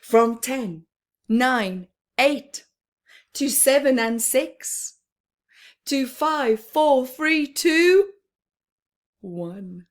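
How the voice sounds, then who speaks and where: Slow, deliberate woman reading to children. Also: high-pitched, animated. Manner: deliberate phrasing with pauses, often dropping to a hushed near-whisper. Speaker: children's story time host reading a picture book aloud. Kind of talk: expressive read-aloud for young children, with chatty asides.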